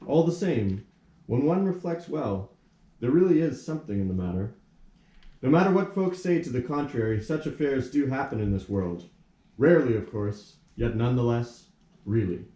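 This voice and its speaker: A man narrates a puppet-theatre tale in a mellow, medium-pitched voice. His storytelling is formal and measured, like a storybook read aloud, in a room with plenty of echo. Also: lively intonation. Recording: echoey room.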